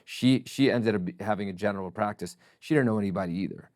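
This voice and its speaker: Russian-native man, middle-aged, deep voice, dry close mic, thoughtful interview guest. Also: a little mellow, no echo. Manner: reflective, measured storytelling. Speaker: podcast guest. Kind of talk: casual interview chat.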